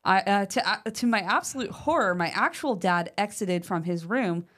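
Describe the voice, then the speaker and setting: A woman's voice: medium pitch, lively intonation, normal speed, fairly bright tone. Chatty woman podcast co-host. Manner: chatty, uses fillers like "like" and "um". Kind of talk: casual podcast chat, reading listener mail aloud.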